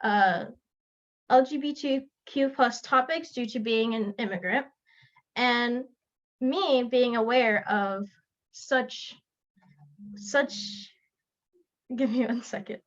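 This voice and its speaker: Earnest young woman telling her story. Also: a little high. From South Florida. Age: young adult. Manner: earnest, with pauses and restarts. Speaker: student speaker. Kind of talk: personal narrative speech. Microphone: video call. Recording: lo-fi online call audio.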